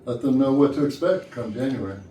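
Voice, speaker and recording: An actor playing a flustered, officious boss at an office Christmas party in a staged comedy reading. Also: male voice, medium pitch, brisk, dark tone, natural voice. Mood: confiding. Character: flustered man boss hosting office Christmas party, trying to keep order. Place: indoor rehearsal room, mic at a distance. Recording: roomy distant rehearsal recording, faint noise.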